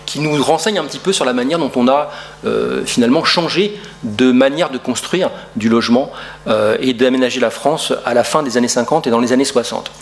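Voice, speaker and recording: A man's voice: medium pitch, brisk. Middle-aged male lecturer, hesitant and full of fillers, lecture room, distant camera. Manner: hesitant, many fillers and restarts. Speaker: urban historian lecturer. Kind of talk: academic lecture.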